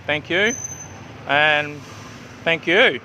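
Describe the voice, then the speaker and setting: A man's voice: fairly high pitch, extremely slow, pushed and bright, slight background noise, low-quality recording. A man from New Zealand with a New Zealand and Australian accent, chatting casually through a restaurant tour as a travel vlogger, repeating himself for emphasis. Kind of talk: casual restaurant walkthrough vlog. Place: outdoor riverside cafe with traffic nearby.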